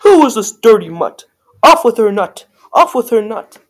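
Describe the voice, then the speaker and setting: A woman's voice: high voice, steady tone, normal speed, a little mellow, pitched above the performer's own voice, lightly acted. A woman voicing a hot-tempered, imperious young fairy-tale prince in a bellowing, declamatory manner. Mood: furious.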